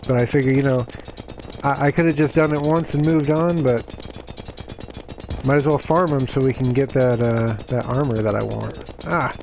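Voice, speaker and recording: A man of middle pitch talks casually while he plays, his voice slightly raspy from overuse. He rambles and justifies himself with plenty of fillers. Game music plays clearly under him. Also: normal speed, rough recording. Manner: chatty and self-justifying, lots of fillers. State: slightly raspy from reading aloud the day before. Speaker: gaming streamer. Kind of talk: casual gameplay commentary.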